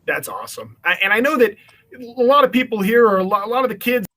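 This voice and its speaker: A man's voice: high-pitched, expressive, fast, pushed and bright. A man with an American accent hosting a children's online event in an upbeat, chatty style. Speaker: event host.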